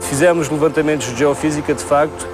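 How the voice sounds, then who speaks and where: A man with a European Portuguese accent giving halting, technical explanations as an expert in an interview. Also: on the high side, fairly even intonation, music in the background. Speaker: marine survey expert being interviewed.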